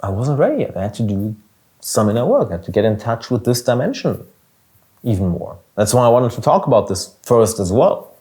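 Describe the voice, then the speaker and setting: A man's voice: medium pitch, very animated, fairly quick, dark tone. A young man with a German accent, hosting a casual motivational podcast in an earnest, emphatic way with some swearing. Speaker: podcast host and business coach.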